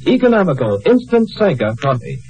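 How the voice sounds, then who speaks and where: Animated vintage radio pitchman. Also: a little fast, faint music behind. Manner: persuasive sales delivery. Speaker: commercial announcer. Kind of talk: 1950s radio advertisement. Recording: old-time radio broadcast recording.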